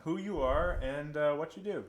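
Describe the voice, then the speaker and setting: A man's voice: a little high, moderate pace. A man in his twenties co-hosting a podcast in a casual, conversational way. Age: 20s. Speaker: podcast co-host. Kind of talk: casual chat.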